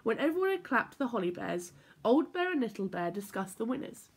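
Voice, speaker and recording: A woman reading a children's story aloud in an expressive, playful, emphatic way. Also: on the high side, brisk, slightly rough. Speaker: story time reader for children.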